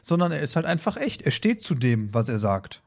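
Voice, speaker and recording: A man's voice: a little high, very animated, brisk, vocal fry. A man co-hosting a podcast, casually discussing in a rambling way, repeating words and slipping into short acted quotes. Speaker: podcast co-host. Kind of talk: casual discussion of an audio drama.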